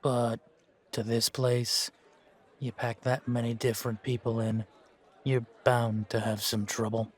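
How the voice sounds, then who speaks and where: A man playing a gruff, world-weary fantasy monster hunter in an audio roleplay, wry and aloof, with a laconic, deadpan way of talking. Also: medium pitch, a little slow, close to an everyday voice. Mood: detached, grim. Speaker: voice actor in fan-made audio roleplay. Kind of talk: slow in-character audio roleplay.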